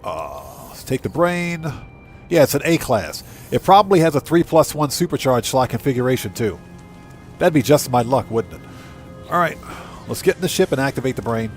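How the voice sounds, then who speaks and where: A man's voice: medium pitch, very animated, normal speed. A man doing casual live game commentary, talking informally to his viewers and repeating phrases for emphasis. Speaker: live streamer and channel host. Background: music.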